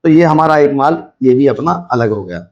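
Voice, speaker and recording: A man's voice: medium pitch, expressive, moderate pace, a little mellow, lo-fi sound. A man giving a hands-on demonstration, explaining step by step and repeating his points as he instructs. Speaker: video host, scrap recycler.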